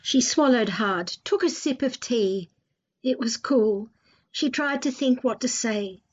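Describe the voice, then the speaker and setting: A woman radio presenter with a high-pitched voice that is noticeably husky. She narrates and introduces in a scripted style, with a slightly muffled sound. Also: slow pace. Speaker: radio host and story narrator.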